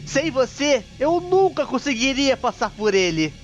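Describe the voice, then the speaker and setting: A woman's voice: high-pitched, very animated, unhurried. A woman voicing a small, cruel talking flower, a mocking and theatrical game villain who taunts gleefully. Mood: mock cheerful. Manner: taunting, theatrical, bursts into shouting. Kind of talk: dramatic dubbed game villain. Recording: muffled lo-fi sound with music.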